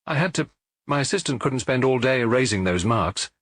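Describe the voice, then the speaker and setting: A man's voice: mid-range voice, expressive, brisk, a bit bright, dry recording, studio quality. A man voicing a talkative, forthcoming bookshop owner in the dialogue of a detective audiobook. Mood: bemused, explanatory. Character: talkative Parisian bookshop owner recalling a reserved customer.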